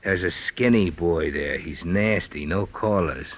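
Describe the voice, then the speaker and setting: A low, husky smooth-talking blackmailer posing as a mind reader. He is shifty and oily, in the manner of old radio mystery acting. The recording is dull and lo-fi. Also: unhurried. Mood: uneasy, confiding. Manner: formal, oily phrasing that slips into slang. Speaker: radio drama actor. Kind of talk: old-time radio detective drama acting.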